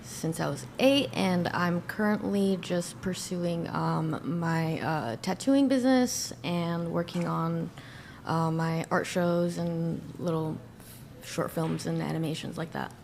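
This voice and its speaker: A woman in her thirties, from Iran, introducing herself softly and trailing off with fillers. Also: on the low side, slow, gravelly, slight background noise. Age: 30s. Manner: soft, trailing, with many fillers. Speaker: panel guest. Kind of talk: casual self-introduction.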